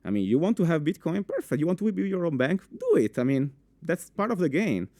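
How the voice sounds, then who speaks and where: A young man with an Italian accent giving a live conference talk in a full room, animated, with many fillers and restarts, asking the audience questions. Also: on the high side, fairly quick, no echo, clean studio sound. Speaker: conference speaker.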